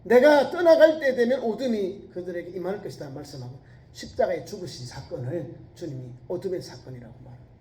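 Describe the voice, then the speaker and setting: Middle-aged man preaching with regional accent. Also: very high voice. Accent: Korean regional dialect. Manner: emphatic preaching with rhetorical questions, rising to shouts on quoted scripture. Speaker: pastor. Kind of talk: sermon. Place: church sanctuary. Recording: echoey church sanctuary.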